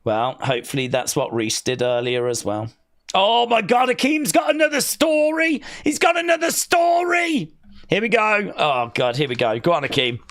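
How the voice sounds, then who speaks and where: A man's voice: high-pitched, big pitch swings, moderate pace, fairly bright tone. A man hosting a casual wrestling watch-along stream, reading viewer messages aloud and teasing them playfully. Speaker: live-stream host reading chat.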